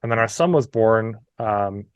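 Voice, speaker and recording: A man's voice: fairly low pitch, animated, measured pace, smooth, no room sound. A man in his thirties from New Brunswick with a Canadian accent, telling his story as an interview guest in a friendly, chatty way. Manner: friendly, chatty, frequent "you know" asides.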